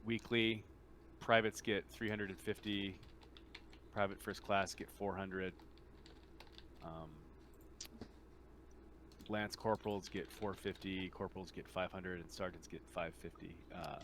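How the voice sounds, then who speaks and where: A man explaining tabletop game rules casually as game master, in a halting, hesitant way. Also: deep voice, steady tone, a bit bright, slight background noise.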